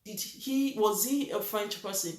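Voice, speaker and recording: A woman's voice: medium pitch, measured pace, roomy sound. A Nigerian woman talking to camera in a vlog, earnest and emphatic, repeating her points. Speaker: vlogger. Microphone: reads from a list below the camera.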